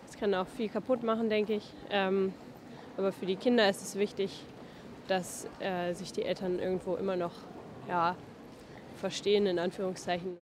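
A woman's voice: mid-range voice, level tone, normal speed, slight background noise. A young woman answering a street interview outdoors, thoughtful and hedging with fillers. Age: young adult. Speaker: passer-by interviewee.

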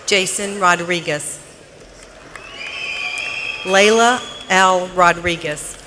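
An announcer reading formally at a graduation ceremony in a large arena, with measured, deliberate enunciation. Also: mid-range voice. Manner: measured, deliberate enunciation with pauses between names. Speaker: commencement name announcer. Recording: noisy arena PA with crowd behind. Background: crowd noise.

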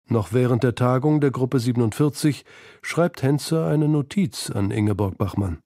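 Man, documentary narrator, scripted delivery, mellow tone, dry close sound. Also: mid-range voice, moderate pace, dry recording. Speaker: feature narrator.

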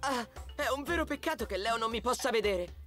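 A woman's voice: a little high, lively intonation, fairly quick, a bit bright, soft background music. A woman voicing a boastful, cheerful young male tiger cub in a children's cartoon, exuberant and bragging. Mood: proud delight. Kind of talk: animated children's cartoon acting.

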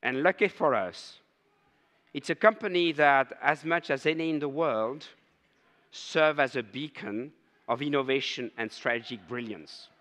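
Older guy emceeing, formal intro, crisp bright voice echoing round a big hall. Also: medium pitch. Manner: measured, ceremonial. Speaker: conference host introducing speaker. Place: large conference hall stage. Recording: long hall reverb.

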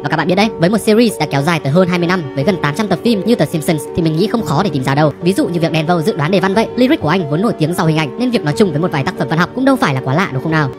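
A man giving conversational explainer commentary, talking directly to viewers as a social media commentator. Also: fairly high pitch. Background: background music.

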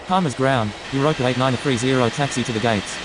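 Noisy, hissy audio carries a man acting as a brisk airport radio operator from a simulation game. He rattles through formulaic aviation calls quickly, in a clipped manner. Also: mid-range voice, close to an everyday voice. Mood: businesslike. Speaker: air traffic control and pilot radio voice. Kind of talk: scripted aviation radio phraseology.

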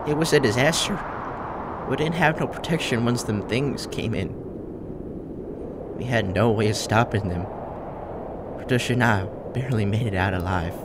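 A man's voice: fairly low pitch, heavy background noise, close to an everyday voice. A man playing a bitter, grieving widowed survivor in a comic dub drama, with an emotional delivery. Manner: embittered, emotional. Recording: loud outdoor ambience behind voice.

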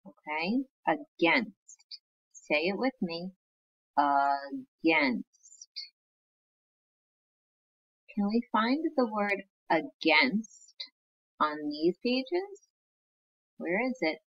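Slow patient woman teacher reading aloud. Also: low-pitched, sing-song, highs cut off. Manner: slow, patient, sounding out words. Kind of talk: reading aloud to young children.

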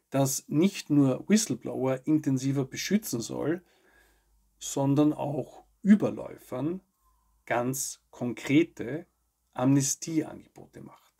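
Husky, creaky man presenting. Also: mid-range voice, dark tone. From Austria. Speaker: host of a weekly news video show. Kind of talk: scripted news commentary to camera.

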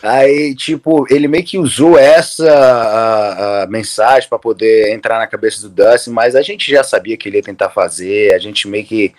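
A man's voice: fairly low pitch, moderate pace, few pauses. A man from Brazil in his thirties, a guest on a video-call interview, talking casually with lots of restarts and fillers. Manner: relaxed, rambling with many restarts and fillers. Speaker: interview guest, MMA coach. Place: room at home, indoors.

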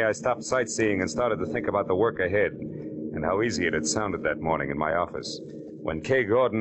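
An actor playing a wry, hard-boiled American private detective in an old-time radio drama, terse and sardonic. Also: male voice, low-pitched, noisy background. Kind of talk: 1940s radio noir narration and acting. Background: music.

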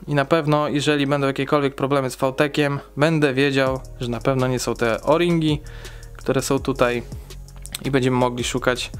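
A man's voice: mid-range voice, level tone, moderate pace, soft background music. A young man in his twenties explaining a car engine repair step by step in a casual, chatty way, with frequent fillers.

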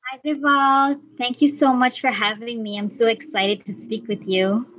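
A woman's voice: on the high side, steady tone, moderate pace, a bit bright, dry recording, lo-fi sound. A young woman giving conversational answers as a podcast guest. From Guyana. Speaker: podcast guest, environmental activist.

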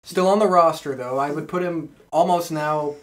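A man's voice: a little high, normal speed, low-quality recording. A man hosting a wrestling podcast, chatting casually and informally with co-hosts. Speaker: podcast host.

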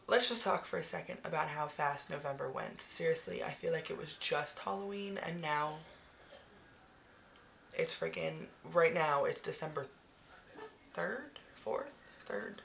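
A woman in her twenties, hoarse from the flu, chatting casually and swearing freely about her beauty favorites into a webcam in a small bedroom. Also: deep voice, measured pace, long pauses, sharp tone, a little hiss or hum. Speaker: beauty vlogger. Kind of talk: casual monthly favorites chat. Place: small decorated bedroom, indoors. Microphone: webcam at arm's length.

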